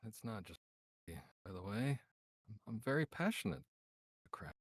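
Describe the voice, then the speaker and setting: A man's voice: on the low side, big pitch swings, normal speed, dry recording. A man playing an earnest, practical agent in a sci-fi comedy table read, with an intense, technical manner. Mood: awkward.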